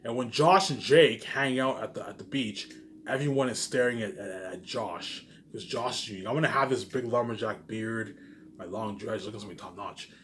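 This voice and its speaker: Fast-talking, boastful man rambling casually. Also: fairly low pitch, fairly bright tone, a little hiss or hum. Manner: boastful, self-assured; repetitive, ends phrases with trailing asides. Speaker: vlogger. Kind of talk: casual rambling monologue to viewers.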